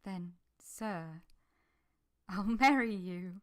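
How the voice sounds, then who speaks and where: A woman's voice: mid-range voice, lively intonation, extremely slow. A woman playing an earnest, humble but passionate young governess in formal literary language, in a vintage-style radio drama. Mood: doubting, tender.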